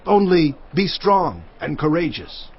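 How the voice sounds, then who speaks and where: Resolute Israelite, higher-pitched. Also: male voice, very animated, unhurried, husky, exaggerated voice. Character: loyal, resolute Israelite man pledging obedience. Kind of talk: dramatized audio Bible acting. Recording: slightly dull audio.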